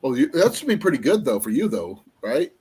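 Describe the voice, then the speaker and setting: A man hosting a casual live-stream chat, his voice going a little raspy, rambling along with fillers as he looks things up. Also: medium pitch, big pitch swings, fairly quick, a little mellow. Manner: rambling, trails off with fillers while looking things up. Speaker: livestream host.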